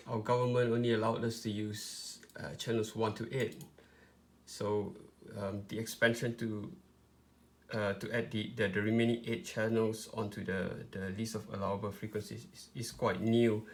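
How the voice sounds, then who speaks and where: Man giving a halting, informal demonstration. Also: medium pitch. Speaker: tutorial presenter. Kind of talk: informal step-by-step product demonstration.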